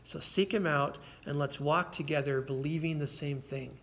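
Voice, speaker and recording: Earnest man preaching, slightly high voice. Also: fairly even intonation, normal speed. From United States. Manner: earnest, conversational preaching with repeated words and soft laughs. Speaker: pastor. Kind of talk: sermon.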